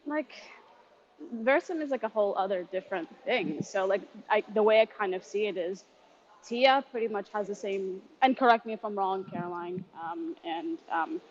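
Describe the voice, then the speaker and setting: A woman's voice: medium pitch, normal speed. A woman hosting an interview in a casual live audio chat, talking in an informal, slangy way. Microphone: online voice call. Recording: muffled, noisy online audio chat.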